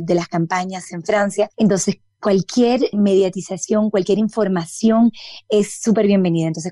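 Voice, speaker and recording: A woman answers interview questions, pausing and restarting as she gathers her thoughts. Her voice is mellow and dark, and it is recorded dry and close with no room around it. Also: medium pitch, dry recording. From Dominican Republic. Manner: thoughtful, many restarts and fillers. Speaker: interview guest, politician.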